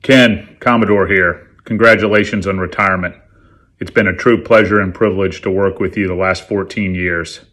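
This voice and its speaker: A man's voice: sits low, steady tone, normal speed, a bit bright. A man giving a sincere farewell to a retiring coworker. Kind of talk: video message.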